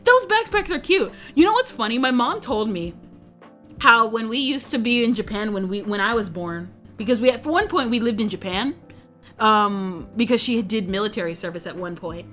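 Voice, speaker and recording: A woman's voice: on the high side, expressive, normal speed, a bit bright, faint music behind. A young woman streamer chatting casually, rambling, self-interrupting and often giggling. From United States. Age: young adult.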